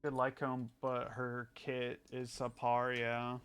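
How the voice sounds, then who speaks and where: Bright-voiced, casual male game streamer. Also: mid-range voice, completely flat delivery, takes it slowly, smooth. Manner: informal, sweary. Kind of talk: casual chat over gameplay.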